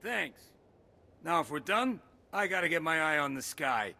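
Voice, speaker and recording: An actor playing a cynical, impatient lookout in a video game, brash and curt. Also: male voice, fairly high pitch, sing-song, normal speed, bright, forceful tone, heavily acted. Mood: irritated, cynical.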